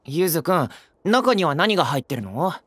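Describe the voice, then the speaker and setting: Gentle, lively teenage boy idol. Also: a little high, moderate pace, slightly rough, exaggerated voice. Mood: bright. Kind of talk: Japanese anime-style audio drama acting.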